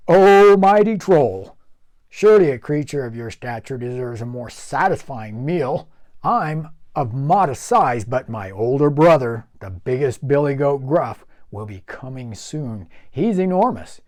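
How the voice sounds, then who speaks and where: A man voicing a resourceful middle-sized male billy goat who speaks clearly and coaxingly. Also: mid-range voice, animated, unhurried, darkish tone, close to an everyday voice. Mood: flattering.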